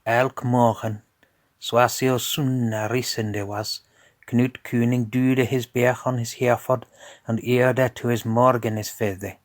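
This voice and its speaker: Man, storyteller, scripted narration, slow and deliberate, lowish pitch, dry close sound. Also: flat delivery, no room sound.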